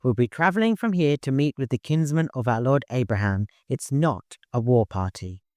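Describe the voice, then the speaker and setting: A man's voice: mid-range voice, expressive, moderate pace, a little mellow, no room sound, natural voice. A man voicing a shrewd, authoritative male expedition leader with a wry streak in an audiobook. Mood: commanding, rousing.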